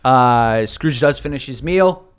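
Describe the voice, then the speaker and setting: A man's voice: mid-range voice, animated, measured pace, smooth. A man running a tabletop role-playing game, narrating informally with jokes and asides. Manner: jokey, informal, acts out sound effects. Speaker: game master.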